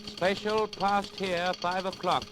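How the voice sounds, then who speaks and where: An actor playing a stiff railway station reporter in a 1940s radio drama, giving telegrams in clipped phrases. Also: male voice, sits high, flat delivery, music in the background. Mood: stiff, official. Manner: slow, clipped telegram delivery.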